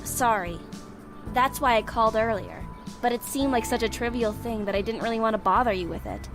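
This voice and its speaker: A woman voicing a shy, reserved young woman student in a visual novel, speaking quietly and hesitantly over game music. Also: a little high, brisk, close to an everyday voice. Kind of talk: voiced visual novel dialogue.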